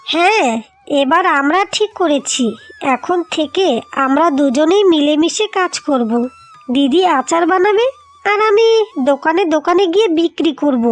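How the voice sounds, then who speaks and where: A woman's voice: extremely high pitch, lively intonation, moderate pace. A woman voicing a feisty, proud young wife in a cartoon, with a firm, scolding way of talking. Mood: earnest. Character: feisty, proud young wife defending her family home. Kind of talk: cartoon dialogue.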